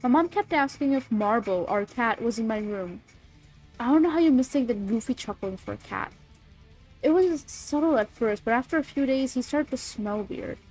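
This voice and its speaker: A woman's voice: fairly high pitch, animated, normal speed, darkish tone, poor audio. A sniffly woman with a cold, chatting casually on a livestream and reading creepy stories aloud. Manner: bubbly, swears, reads chat aloud. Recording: faint music behind.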